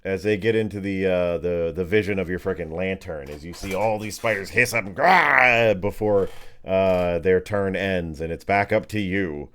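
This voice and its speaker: A man working as game master, narrating a tabletop game and explaining rules casually, informal and animated, often fumbling his words. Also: low voice, moderate pace. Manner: informal, animated, fumbles words, uses makeshift sound effects.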